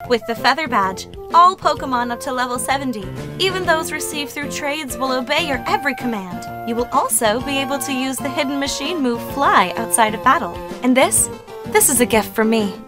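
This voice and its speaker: A woman's voice: high-pitched, animated, normal speed. A woman voicing a poised, dutiful young female gym leader in a video game fan dub. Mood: composed, gracious. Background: game music.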